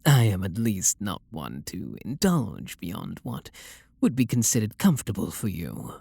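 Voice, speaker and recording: A man acts out a refined British vampire lord, tender and possessive toward a lover. The voice is husky and slow, with lively swoops in pitch as it slips toward whispers. It plays as intimate, seductive ASMR roleplay. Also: no echo, full character voice. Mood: possessive, flirtatious. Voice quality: breathy, gravelly. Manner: soft, slow, seductive murmur with breathy whispers.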